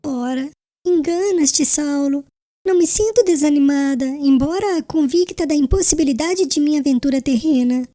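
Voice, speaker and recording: Woman acting gentle young fiancée, audiobook drama, resigned and loving, high mellow voice, formal earnest diction. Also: moderate pace, close to an everyday voice. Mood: serene, devout.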